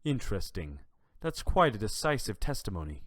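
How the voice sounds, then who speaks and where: A man's voice: on the low side, sing-song, moderate pace, a bit bright, no echo, studio quality. A man playing a stern, stately courtroom judge in an amateur audio drama, speaking in a solemn, formal manner.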